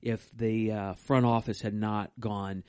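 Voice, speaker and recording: A man's voice: on the low side, level tone, measured pace, darkish tone, clear, resonant tone, dry recording, studio quality. A man co-hosting a casual podcast, chatty and rambling as he banters with his partner. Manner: chatty, rambling, laughs easily. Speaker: podcast co-host. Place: live stream room.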